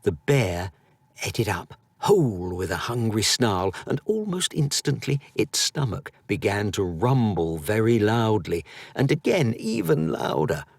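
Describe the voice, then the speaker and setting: A man narrating a children's story expressively, with dramatic, drawn-out emphasis. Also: fairly low pitch, big pitch swings, vocal fry, husky, no echo. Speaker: audiobook narrator.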